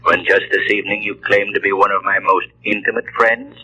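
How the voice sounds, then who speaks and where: An actor playing a mocking, menacing ghostly avenger through a radio filter, sardonic and deliberate, in old radio drama style. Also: male voice, fairly low pitch, fairly even intonation, bright, forceful tone, slightly rough, exaggerated voice, low-quality recording. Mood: mocking, ominous. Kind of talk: 1940s radio drama acting.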